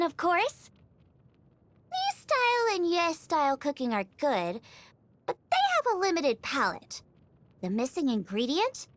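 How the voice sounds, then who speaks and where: A woman voicing a bubbly, eager teenage girl chef in scripted video game lines, perky, earnest and expressive. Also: extremely high pitch, no room sound, exaggerated voice. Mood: enthusiastic.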